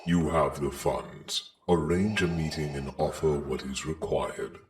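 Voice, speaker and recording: A man playing a menacing armored Sith lord in a comic dub, measured, formal and commanding. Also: extremely low pitch, slight background noise. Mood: cold, commanding. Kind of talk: dramatic comic dub acting.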